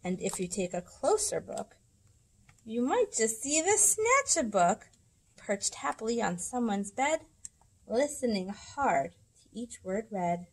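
A woman in her thirties reading rhyming picture books aloud to children, with dramatic, deliberate storybook phrasing. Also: a little high, very animated, slightly rough, rough recording. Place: indoors in a library room.

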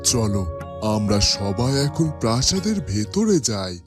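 A man playing an indulgent older king in a fairy-tale cartoon, fatherly, gentle and placating. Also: fairly low pitch, very animated, unhurried, music in the background.

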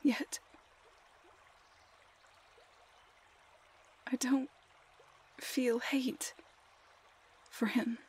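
A woman playing a heartbroken young woman lost in a fairy-tale forest, in an emotional audio roleplay, halting and often dropping to a whisper. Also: high voice, flat delivery, a little mellow, husky, exaggerated voice. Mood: tearful, subdued.

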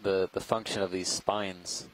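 A man giving live scientific commentary on a deep-sea dive. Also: little pitch movement, measured pace, rough recording. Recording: faint background noise.

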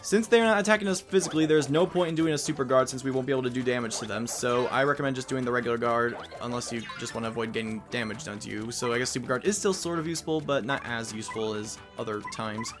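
A man's voice: mid-range voice, fast, few pauses. A man casually commenting on a video game as he plays, rambling with jokey asides. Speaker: let's play host. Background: game music.

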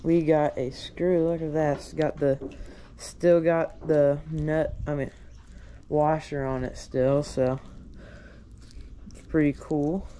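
A man narrating his own outing in a relaxed, chatty way, filmed outdoors by a creek on a chest-mounted action camera. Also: fairly high pitch, slow pace, pauses often, a little hiss or hum. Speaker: vlogger. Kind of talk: casual first-person commentary.

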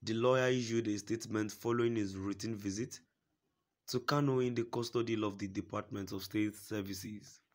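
A man reading a news report aloud in a steady, formal way, quoting a statement at length. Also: on the low side, normal speed. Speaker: news reader.

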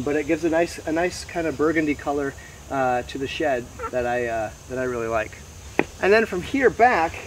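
A man in his thirties giving a casual, explanatory walking tour to camera, pausing now and then mid-sentence. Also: fairly high pitch. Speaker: host giving a garden tour. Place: outdoors in a wooded backyard garden. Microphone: camera mic several meters away. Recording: distant camera mic, noisy outdoor ambience. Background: insects and outdoor noise.

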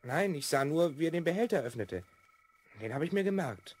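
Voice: medium pitch, animated, fairly quick, darkish tone, breathy edge. A performer playing an earnest twelve-year-old boy time traveller in a children's radio play. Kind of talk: 1970s German children's radio play acting.

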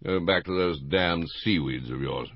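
A male reader acts out an older British colonel in an audiobook, gruff and avuncular. He teases and probes bluntly, with emphatic delivery. The sound is a bit muffled. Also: low voice, moderate pace, no room sound. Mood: bluff, avuncular.

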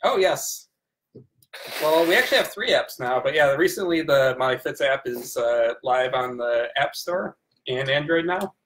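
A man in his thirties chats casually as a livestream sidekick. His pitch sits in the middle, and the webcam recording carries faint noise. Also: lively intonation, measured pace, a bit bright, rough recording. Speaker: assistant and co-host. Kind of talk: casual chat. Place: indoor room at home by a window. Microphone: webcam, seated back from it.

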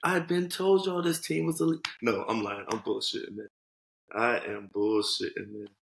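A man co-hosting a casual sports podcast, animated and joking, swearing freely as he talks over a video call. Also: lowish voice, moderate pace, creaky, roomy sound, slight background noise. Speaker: podcast co-host. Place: home room. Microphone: headset on video call.

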